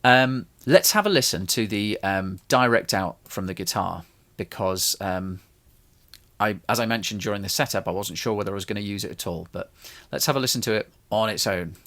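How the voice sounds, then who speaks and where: A man's voice: on the low side, moderate pace, fairly bright tone. A man casually explaining his home studio mixing process, in a loose, rambling way with many restarts. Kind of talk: casual tutorial talk.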